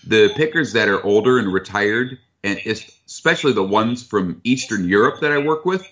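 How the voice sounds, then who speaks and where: Very deep-voiced man, relaxed and frank. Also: moderate pace. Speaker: chef who buys from foragers. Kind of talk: casual interview talk. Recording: dull lo-fi sound.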